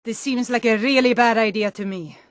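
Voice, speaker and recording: A woman's voice: on the high side, moderate pace, no room sound, studio quality, lightly acted. A woman playing a wary, sardonic Nord guard in a fantasy game, talking in a blunt and skeptical way. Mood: skeptical, grudging. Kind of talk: fantasy video game dialogue.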